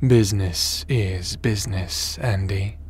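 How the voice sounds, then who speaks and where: A man playing a smug, ruthless crime boss and sci-fi villain in an audio roleplay, taunting and theatrical in a deliberate delivery. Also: sits low, slightly rough, exaggerated voice. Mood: smug, cold.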